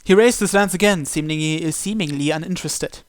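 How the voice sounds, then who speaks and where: A man reading a visual novel aloud in an expressive, dramatic first-person narration with occasional stumbles. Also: fairly high pitch, a little fast, no room sound.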